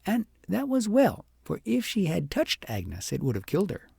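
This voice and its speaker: A man reading a fairytale audiobook in a dramatic, deliberate storytelling manner. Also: sits high, huge pitch swings, gravelly, darkish tone, slightly rough, no echo, studio quality. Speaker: audiobook narrator. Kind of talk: expressive scripted fairytale narration.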